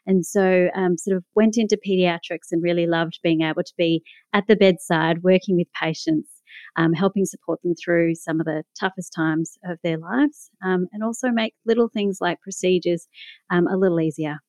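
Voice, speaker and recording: A woman's voice: fairly low pitch, fairly even intonation, moderate pace, darkish tone, dry recording. A woman with an Australian accent, telling her story conversationally as an interview guest. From Melbourne, Australia. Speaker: guest, pediatric doctor.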